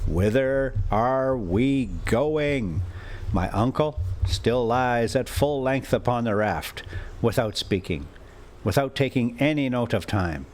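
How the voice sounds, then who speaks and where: Male storyteller, mid-range pitch, unhurried pace, deliberate halting phrasing, informal read-aloud style. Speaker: storyteller reading a classic novel aloud. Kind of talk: audiobook-style reading with casual asides.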